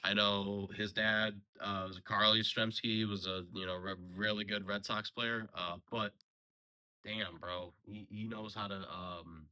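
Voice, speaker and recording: A young man giving a casual, rambling solo sports recap, a little hoarse from a sore throat and full of fillers and restarts. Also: lowish voice, flat delivery, unhurried, sharp tone, rough recording. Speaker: sports podcast host.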